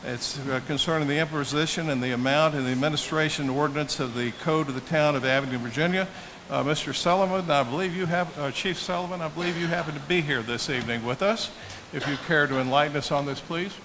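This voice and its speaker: A man with a halting, deliberate delivery, formally running a town council meeting as mayor. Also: fairly high pitch. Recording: hissy public meeting room microphone.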